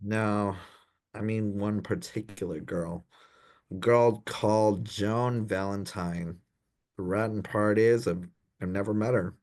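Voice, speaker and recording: An actor playing an anxious, dim young gentleman in a comic period radio play, dithering and apologetic in posh old-fashioned slang. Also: on the low side, steady tone, takes it slowly. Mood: embarrassed confession. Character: anxious, dim young upper-class Edwardian gentleman in trouble.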